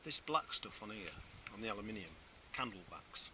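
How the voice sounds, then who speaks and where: A man's voice: on the low side, lively intonation, normal speed, sharp tone, slight background noise, rough recording. A man giving a casual step-by-step how-to while working outdoors on a garden patio, often restarting phrases as he explains. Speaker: DIY video maker.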